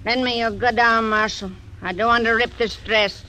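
Brief: a polite widow in an old radio western, bright tone, faint hiss. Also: female voice, a little high, steady tone, moderate pace, poor audio. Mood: gracious. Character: widowed frontier woman, polite and gracious. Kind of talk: 1940s radio acting.

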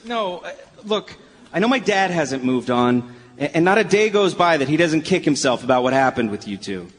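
An actor playing an earnest, awkward grown son with a hesitant, stammering delivery in a live radio play. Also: male voice, on the high side, sing-song, normal speed. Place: town hall stage before a live audience. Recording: noisy live hall, long reverb.

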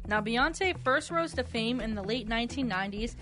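A woman's voice: on the high side, lively intonation, fairly quick. A woman presenting a quiz on a radio show, reading out questions with light banter. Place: radio studio. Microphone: close studio microphone. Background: music bed.

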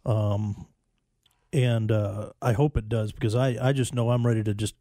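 A man's voice: fairly low pitch, steady tone, normal speed, warm tone, no room sound, studio quality. A man co-hosting a casual sports talk show, talking eagerly and rambling through his points. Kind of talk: casual sports radio chat.

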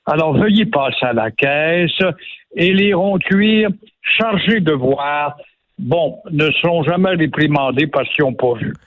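A man's voice: a little high, measured pace. Sarcastic Quebec man over a phone line. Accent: Quebec French. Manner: sarcastic, indignant. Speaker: radio commentator. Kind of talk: opinionated radio chat. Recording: phone-line radio audio.

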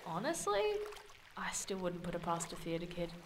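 An actress playing an irreverent, skeptical and wary young woman adventurer in an audio drama, talking in a casual, sardonic, expressive way. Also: fairly low pitch, moderate pace, slight background noise.

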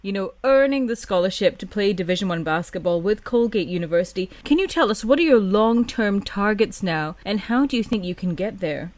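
A woman's voice: mid-range voice, expressive, fairly quick, few pauses, dry recording. A woman hosting a radio sports show, introducing guests and asking questions in a scripted presenter way. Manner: bright, scripted presenter delivery. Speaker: radio host. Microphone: studio microphone.

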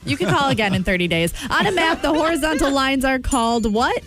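Upbeat, lively woman radio co-host. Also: high-pitched, a little fast, over music. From Milwaukee, Wisconsin. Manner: encouraging, upbeat. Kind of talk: morning radio banter. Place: radio studio.

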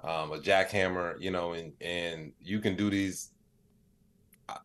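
Low-pitched man telling stories casually. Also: fairly even intonation, a little slow, fairly bright tone. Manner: rambling, peppered with "like" and "you know". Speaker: interview guest, comedian and AI storyteller. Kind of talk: casual conversational storytelling.

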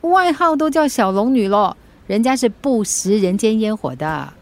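A caring, sensible friend in a Mandarin radio drama. Her voice is high and swings animatedly up and down. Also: animated, moderate pace. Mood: breezy, caring. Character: caring, level-headed divorced woman, soothing friend.

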